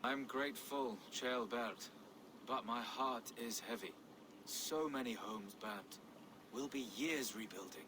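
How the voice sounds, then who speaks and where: An actor playing a cautious, courteous churchman in a video game, speaking gravely and deferentially. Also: medium pitch, takes it slowly, lightly acted. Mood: heavy-hearted. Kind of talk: dramatic video game acting. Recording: noisy game ambience behind the voice.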